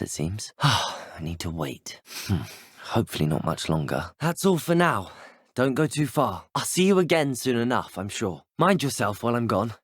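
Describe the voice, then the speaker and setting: An actor playing a curious, plucky young wizard student in a video game, musing to himself in a polite, wry way with a husky, breathy voice. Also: male voice, on the low side, no echo, clean studio sound. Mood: mildly frustrated, then cheerful.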